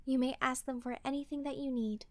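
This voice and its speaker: A woman's voice: sits high, steady tone, a little fast, a little mellow, no echo, natural voice. A woman playing an earnest, courteous, principled royal ruler in a fantasy audio roleplay, speaking formally and politely. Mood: earnest, gracious.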